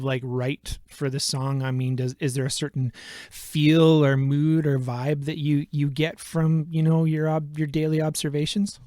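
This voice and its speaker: Flat-toned radio host with dry sound. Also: male voice, fairly high pitch, dry recording. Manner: rambling, hedging questions with many fillers. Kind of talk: interview questions.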